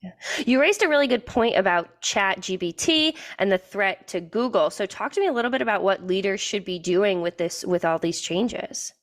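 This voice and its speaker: A woman's voice: medium pitch, expressive, moderate pace, hardly any pauses. Lady podcast host, chatty, asking guest questions in a normal mid voice. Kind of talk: conversational interview hosting.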